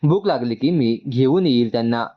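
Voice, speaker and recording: A man's voice: mid-range voice, expressive, moderate pace, close to an everyday voice. Guy doing a businesslike rich mom in an audio story, matter of fact, bit muffled. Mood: composed, firm. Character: composed, businesslike wealthy middle-aged mother-in-law figure.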